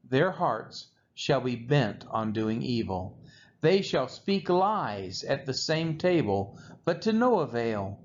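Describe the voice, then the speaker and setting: Man reading scripture aloud, widely swinging pitch. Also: a little mellow. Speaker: scripture reader. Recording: dull lo-fi recording with faint noise.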